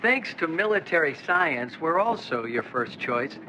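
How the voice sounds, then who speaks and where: An actor playing a confident retro corporate pitchman, chipper and polished in a scripted promotional style. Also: big pitch swings, measured pace, bright, forceful tone, a little hiss or hum, full character voice, rough recording. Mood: upbeat, selling. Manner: polished, salesman-like.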